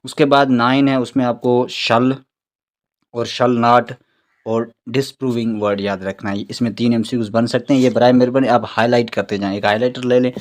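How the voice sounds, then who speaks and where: A man with a Pakistani accent and a mid-range voice teaches in an informal lecture style. He explains step by step and repeats key terms so they stick. When he reads English phrases aloud, he becomes halting and deliberate. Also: mid-range voice, fairly even intonation. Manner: instructional, repeats key words for memorising. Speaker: exam-prep teacher. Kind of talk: informal recorded lecture, reading out questions and explaining.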